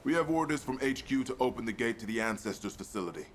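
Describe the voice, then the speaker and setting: A man playing a steady lieutenant of a sci-fi investigative corps in a video game. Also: mid-range voice, quick pace, background noise, natural voice. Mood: urgent. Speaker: military officer escorting the player.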